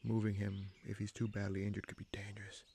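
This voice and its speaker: A man playing a gruff but caring human bandit leader in a fantasy audio roleplay, wry and protective, with informal, halting phrasing. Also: completely flat delivery, mellow, slight background noise. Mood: wry, determined. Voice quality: gravelly, husky low voice.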